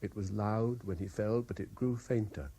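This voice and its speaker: An actor playing a haunted, reluctant private investigator in a radio drama, with a British accent and a hesitant, measured way of speaking. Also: male voice, on the low side, fairly even intonation, dark tone, husky. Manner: hesitant, stammering in talk, measured when dictating. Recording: 1970s radio drama broadcast.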